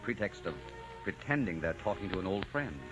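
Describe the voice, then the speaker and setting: A suave, teasing gentleman suitor in vintage radio drama. His voice is fairly low and highly animated. Music plays clearly behind him on a dull old recording. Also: brisk. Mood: suave, flirtatious. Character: bold, flirtatious gentleman romancer, suave and teasing.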